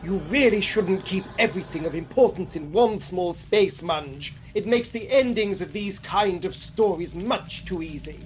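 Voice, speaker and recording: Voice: medium pitch, lively intonation, moderate pace, few pauses, close to an everyday voice. A performer playing an earnest, bumbling detective's sidekick in a comedy radio play, with dry, hesitant asides. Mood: dry, wry.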